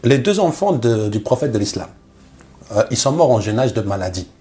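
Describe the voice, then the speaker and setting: A man's voice: medium pitch, very animated, fairly quick, mellow. A man from Algeria, a missionary guest, telling his personal religious testimony in short phrases with rhetorical questions.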